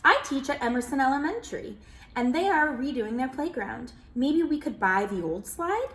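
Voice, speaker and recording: A woman's voice: high-pitched, lively intonation, normal speed, a bit bright, voice pushed up. A woman voicing a helpful schoolteacher neighbor in a children's storybook. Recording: roomy echo.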